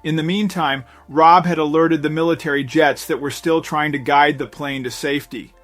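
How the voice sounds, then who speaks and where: A man's voice: fairly high pitch, fairly even intonation, normal speed, a bit bright. A man narrating a scripted suspense story in a dramatic storytelling manner. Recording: voice-over with music underneath. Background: background music.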